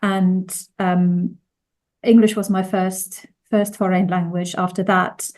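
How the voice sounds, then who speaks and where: A woman, by birth, gives a measured conference presentation over a video call. Her voice sits on the low side and sounds mellow and dark. She often restarts her phrases as she goes. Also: little pitch movement. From Finland. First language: Finnish. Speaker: conference speaker.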